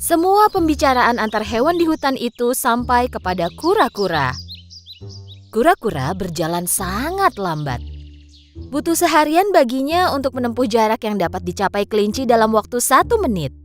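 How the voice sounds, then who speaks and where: A woman narrating a scripted children's fable in an expressive storytelling style. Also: fairly high pitch, normal speed, soft background music.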